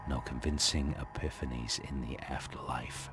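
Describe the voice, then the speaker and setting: A man's voice: a bass voice, fairly even intonation, normal speed, music in the background. An actor playing a cynical, world-weary dead gunman in a video game, narrating his own fight through purgatory in a gritty noir manner. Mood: sardonic. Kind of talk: noir inner monologue and game dialogue.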